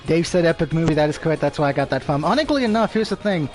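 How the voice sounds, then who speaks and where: A man's voice: a little high, animated, rapid-fire, darkish tone, faint music behind. A man casually commentating on a live game stream, rambling and swearing, with opinions on everything and a speech impediment.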